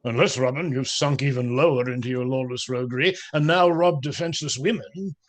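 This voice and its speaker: An actor playing a jovial, scolding friar in a radio play, with churchly phrasing. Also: male voice, mid-range voice, fairly quick, natural voice. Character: Friar Tuck, jovial portly priest. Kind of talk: radio drama acting.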